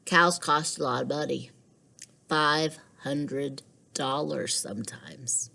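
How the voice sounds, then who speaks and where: Woman voicing a deliberate, thoughtful young boy. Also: sits low, vocal fry, bright, forceful tone, exaggerated voice.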